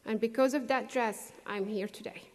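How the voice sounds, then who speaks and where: A middle-aged woman, not a native English speaker, giving an earnest, measured graduation speech with pauses for emphasis. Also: a little high, fairly even intonation, heavy background noise. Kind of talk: prepared convocation speech. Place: large hall. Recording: distant echoey hall recording.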